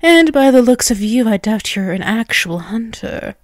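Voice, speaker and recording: A woman's voice: on the high side, expressive, no room sound, clean studio sound, natural voice. A woman voicing a dominant, ageless vampiress in an ASMR roleplay, teasing and condescending, drawing out her words. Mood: taunting, commanding. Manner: slow, condescending, teasing, with drawn-out words and chuckles. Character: dominant, taunting ageless vampiress toying with a captive human.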